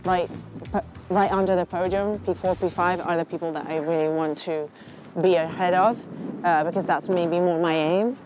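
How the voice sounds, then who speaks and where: Italian woman driver, chatty, lowish voice. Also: steady tone, darkish tone, faint music behind. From Italy. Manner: chatty, a little halting, with repeated fillers like "obviously". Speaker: racing driver. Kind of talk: casual interview answers.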